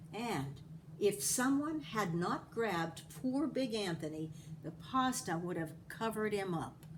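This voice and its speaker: Female storybook reader, unhurried and animated, medium pitch, faint noise behind. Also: rough recording. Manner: animated, acting out the dialogue. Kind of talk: expressive read-aloud of a picture book.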